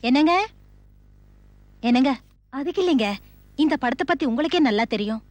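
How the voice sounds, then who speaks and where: Anxious young wife, TV serial: high voice, tentative and respectful, close dry sound. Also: female voice, animated, normal speed, darkish tone, dry recording. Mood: pleading advice. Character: anxious, deferential young wife of a film producer. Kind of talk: Tamil television serial acting.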